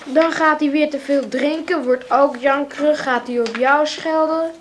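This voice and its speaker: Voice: high voice, fairly even intonation, measured pace, slight background noise. A performer playing a sensible, bossy young son who is stuck in bed, matter-of-fact and precocious, in a radio play. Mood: reluctant, worried. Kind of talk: naturalistic radio drama acting.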